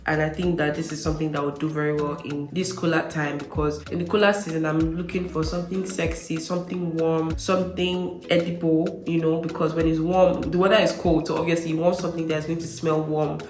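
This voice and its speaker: A woman's voice: low voice, roomy sound. A woman chatting casually to camera as a perfume vlogger, slightly nasal as she gets over a cold, stressing her points with repeated words. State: recovering from a cold. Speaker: beauty vlogger presenting perfume reviews.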